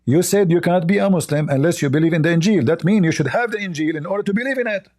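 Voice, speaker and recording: Insistent debating man with a mellow voice. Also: high-pitched, very fast. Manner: combative, insistent, repeats himself to interrupt. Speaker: debate show host. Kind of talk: heated religious debate.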